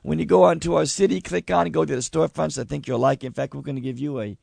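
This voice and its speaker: Hoarse fired-up radio host guy, gravelly and a bit high, dry studio sound. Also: fast, no echo. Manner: loud, excitable, laughing. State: losing his voice. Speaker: radio talk show host. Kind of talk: live radio talk show. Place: radio studio with live audience.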